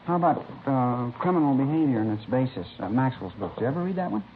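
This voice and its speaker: A man playing an American high school boy, nervous and eager, with a medium-pitched voice. He is chatty and over-curious, with hesitant phrasing, in an old radio crime drama. The recording is very narrow and clearly noisy. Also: animated, quick pace, lightly acted. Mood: eager, fascinated. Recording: scratchy, band-limited vintage radio recording.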